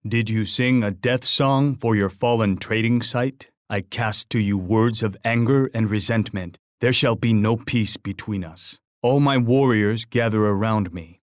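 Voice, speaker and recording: An actor playing a proud, warlike chief in a video game, speaking in solemn, formal, poetic oratory. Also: male voice, on the low side, a little slow, few pauses, no echo, clean studio sound, lightly acted. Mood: menacing, scornful. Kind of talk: scripted video game character voice lines.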